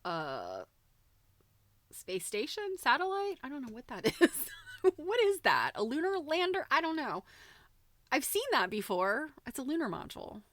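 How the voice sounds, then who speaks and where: A woman host chatting casually on a livestream in an informal, halting way, thinking aloud as she goes. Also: sits high, huge pitch swings, a bit bright, no room sound. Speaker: host of a live quilting book show. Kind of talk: casual livestream chat, commenting while browsing a book.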